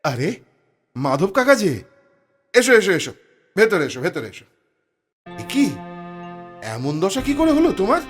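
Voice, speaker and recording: Man acting a high, animated village husband. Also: a little slow, pauses often, faint music behind. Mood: cheerful. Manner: exclamatory, animated, welcoming. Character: hearty, helpful village farmer and family man. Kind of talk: Bengali cartoon dubbing.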